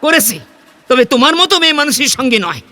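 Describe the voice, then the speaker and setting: An actor playing a penniless, wary, proud drifter in a Bengali audio play, speaking in a hesitant, defensive stammer. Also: male voice, extremely high pitch, big pitch swings, quick pace, background noise. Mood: hungry, defensive. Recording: faint background score behind the voice. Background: faint music.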